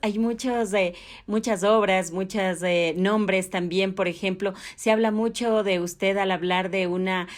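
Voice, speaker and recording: A woman's voice: medium pitch, fairly even intonation, unhurried. Woman doing an interview, polite n formal questions, normal mid-range voice.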